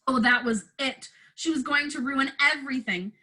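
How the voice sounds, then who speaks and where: Female narrator, teacher-style children's read-aloud, expressive, with a high, bright voice. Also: normal speed. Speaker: teacher reading aloud to first graders.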